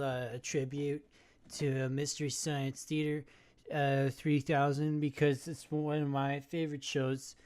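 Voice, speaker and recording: A man's voice: medium pitch, a little mellow, clean tone. A man giving a rambling, hesitant video introduction, full of fillers and restarts. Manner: halting, hesitant, many fillers. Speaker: video commentator. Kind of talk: rambling monologue.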